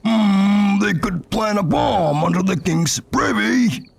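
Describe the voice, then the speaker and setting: A man voicing an edgy, scolding guard in a fantasy audio drama, with a raspy, creaky voice. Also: high-pitched, takes it slowly, full character voice. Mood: scheming. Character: shrill, edgy, scolding male guard scheming for promotion.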